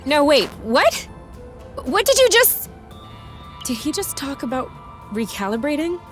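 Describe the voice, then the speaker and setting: Game heroine, young and defiant, highish voice, sarcastic and anxious, speaking over music. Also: female voice, a little slow. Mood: alarmed, indignant. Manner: blunt, sarcastic, expressive. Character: feisty, defiant young woman, anxious runaway lover. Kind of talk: video game cutscene acting.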